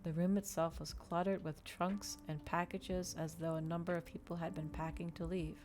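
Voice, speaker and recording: A woman reading a story aloud in ASMR style, with a measured, dramatic delivery in short phrases. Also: on the low side, level tone, darkish tone. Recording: clear background ambient noise.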